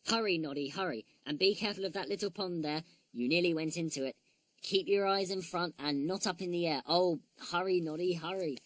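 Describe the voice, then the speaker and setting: A woman voicing a small, sweet girl teddy bear who is anxious and fretful, speaking in a soft voice. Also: on the low side, brisk, no echo, lightly acted, poor audio. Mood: earnest.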